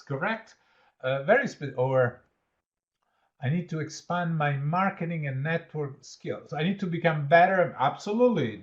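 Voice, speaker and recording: A man's voice: on the high side, highs cut off. A man with an Italian accent, born in Italy, leading an online training session and praising participants' answers. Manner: encouraging, repeats "absolutely", reads out participants' answers. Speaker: workshop trainer.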